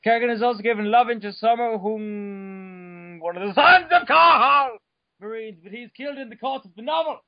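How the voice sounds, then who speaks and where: High-voiced man doing a mock-dramatic reading. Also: moderate pace, dry recording. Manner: over-the-top delivery with stumbles and joking asides. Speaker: reader. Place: room at home. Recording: dull lo-fi home recording.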